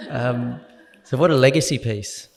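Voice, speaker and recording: A man's voice: mid-range voice, a little slow, gravelly. A man interviewing a guest onstage, prompting her with playful questions. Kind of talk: live onstage conversation. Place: room with a live audience. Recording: reverberant hall with audience.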